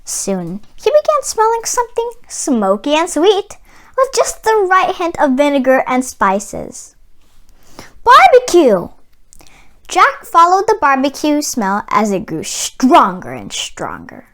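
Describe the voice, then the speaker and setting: A woman narrating a children's storybook read-aloud in an expressive storytelling manner. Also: high voice, takes it slowly. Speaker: storybook reader.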